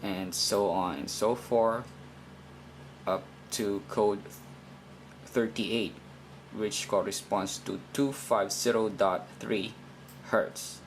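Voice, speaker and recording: A man giving an informal step-by-step tutorial in a halting, deliberate way, often repeating himself. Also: fairly low pitch, fairly even intonation, slight background noise, rough recording. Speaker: instructor in a how-to video.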